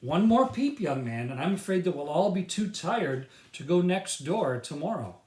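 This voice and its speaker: A man's voice: on the high side, big pitch swings, normal speed, a little mellow, voice pushed up. A man voicing a stern, firm father who scolds his son at bedtime, in a raised, emphatic tone, recorded in an echoey room. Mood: warning.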